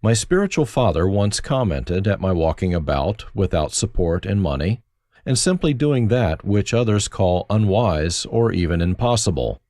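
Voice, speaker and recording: Man, low voice, lively pitch. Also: normal speed, no echo. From Germany. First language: German. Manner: dry, self-deprecating humor. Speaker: autobiography narrator. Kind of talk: reflective first-person memoir reading.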